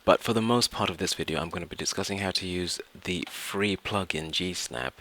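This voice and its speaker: Male tutorial presenter, low pitch with a crisp bright edge, informal and explanatory. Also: brisk. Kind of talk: informal software tutorial narration.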